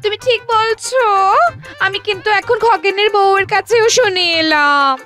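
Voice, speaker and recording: A woman's voice: very high-pitched, expressive, normal speed, over music. A woman voicing a fearful, gossipy minister's wife in a folktale cartoon, fussy and anxious.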